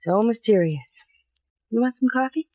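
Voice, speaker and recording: A woman's voice: fairly high pitch, lively intonation, normal speed, warm tone, close to an everyday voice. An actress playing a chatty, curious single woman in a 1950s radio drama, breezy and inquisitive. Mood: curious, suspicious. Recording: dull vintage radio recording.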